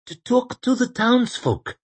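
A man's voice: very high voice, very animated, slow pace, breathy edge, voice pushed up, full character voice. A man voicing an earnest, idealistic young priest who pleads passionately. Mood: earnest pleading. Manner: pleading, impassioned.

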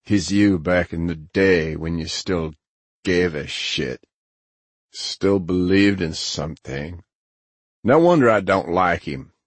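A man's voice: deep voice, expressive, slow, no room sound, heavily acted. A man voicing a middle-aged, jaded male antihero in an audiobook, trading sardonic, profane banter. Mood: jaded, wary.

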